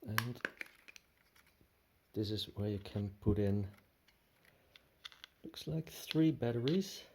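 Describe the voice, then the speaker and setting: A man gives a casual hands-on product commentary in a soft-edged, mellow voice with lively swings in pitch. He thinks aloud in short, tentative phrases and takes his time between them. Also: slow, a little hiss or hum, low-quality recording. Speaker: product reviewer. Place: indoor room with tiled floor.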